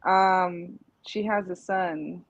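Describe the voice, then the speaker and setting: A woman's voice: lowish voice, fairly even intonation, drawn-out words, no room sound, highs cut off. A woman, a guest artist on a livestream, speaking hesitantly and carefully, often trailing off and restarting. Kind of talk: casual chat.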